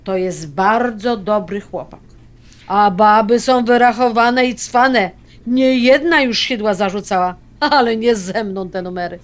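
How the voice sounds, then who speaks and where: A woman's voice: medium pitch, measured pace, natural voice. A woman playing a stubborn, possessive elderly grandmother in an audio drama, blunt, folksy and grumbling. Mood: scolding.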